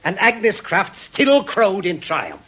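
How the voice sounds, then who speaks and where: A cantankerous British ex-copper in a radio play. His voice is husky and fairly high for a man, and it swings widely as he presses his point with brusque scorn. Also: huge pitch swings, slow pace, bright, forceful tone, heavily acted. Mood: bitter, triumphant. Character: obsessive, cantankerous veteran ex-policeman and bereaved husband. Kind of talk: radio drama acting.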